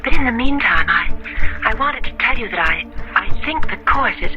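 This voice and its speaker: A woman's voice: fairly high pitch, animated, normal speed, lo-fi sound, lightly acted. Eager young college woman played by an actress. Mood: eager, polite. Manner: polite, eager. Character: earnest, eager young female college student. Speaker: actress in a radio drama. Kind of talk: radio horror drama acting. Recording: radio drama with music bed.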